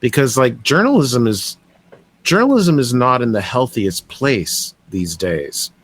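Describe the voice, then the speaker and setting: Hesitant man asking questions, mid-pitched. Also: sing-song, unhurried, fairly bright tone, husky. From Canada. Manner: hesitant, repeating words. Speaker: podcast co-host. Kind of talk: casual interview questions.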